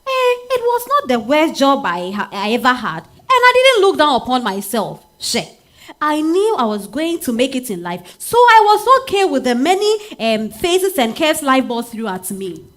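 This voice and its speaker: A woman's voice: high voice, normal speed, heavily acted. A Ghanaian woman playing a spirited married woman telling her love story in a dramatized stage reading, with conversational interjections. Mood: wistful, animated. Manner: expressive, conversational interjections like eh and hmm. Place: live literary festival stage reading.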